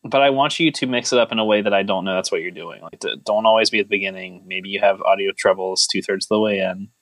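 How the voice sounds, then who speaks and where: A man's voice: lowish voice, quick pace, fairly bright tone, no room sound, rough recording. A man co-hosting a podcast in casual chat, rambling and joking with a mock-serious manner. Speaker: podcast co-host.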